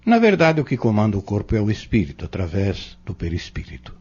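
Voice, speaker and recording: A Brazilian man acting in an audio novel as a patient, earnest Spiritist teacher, explaining doctrine in measured, emphatic phrases. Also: lowish voice, wildly swooping pitch, darkish tone, slightly rough, exaggerated voice. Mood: earnest, instructive. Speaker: Spiritist instructor in an audio drama. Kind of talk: didactic audio-novel dialogue. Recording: dull, lo-fi audio.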